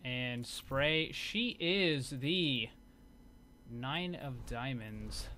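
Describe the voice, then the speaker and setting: Bright-voiced man doing casual gaming commentary. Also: medium pitch, lively intonation, extremely slow. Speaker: gaming video host. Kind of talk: casual commentary over game footage.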